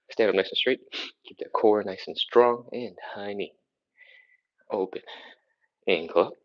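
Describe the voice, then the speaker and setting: A very low-voiced man with a cold leads a home stretching session, counting reps in short cues between breathy sighs. The sound is dull and lo-fi, like a webcam mic across the room. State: sniffly from a cold. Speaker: stretching instructor. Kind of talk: live-streamed workout coaching. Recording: slightly dull, lo-fi stream audio.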